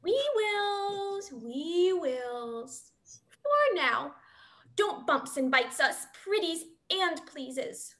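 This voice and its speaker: A woman's voice: extremely high pitch, sing-song, extremely slow, a bit bright, exaggerated voice. A woman voicing a menacing, childlike shark in a play reading, singsong and taunting in broken grammar. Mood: taunting, hungry. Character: Mako, menacing childlike shark who hungers to bite. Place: small dim room, video call.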